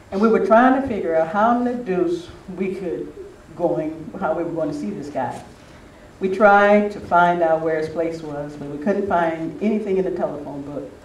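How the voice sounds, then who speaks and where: A man's voice: a little high, moderate pace, a little mellow. An older man giving an informal, anecdotal talk to a gathering, reminiscing in a conversational way. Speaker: speaker at a spiritual retreat. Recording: roomy, distant mic with faint noise.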